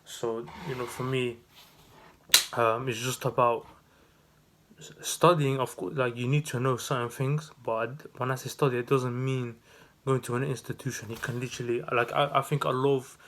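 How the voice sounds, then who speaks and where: A man in his early twenties from the Netherlands, talking straight to camera with no notes. He rambles and restarts often, filling gaps with "um" and "you know", in a mid-pitched, rather flat voice. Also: normal speed, a little hiss or hum. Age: 20s. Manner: rambling, many fillers and restarts. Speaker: vlogger and new business owner. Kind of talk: unscripted casual vlog.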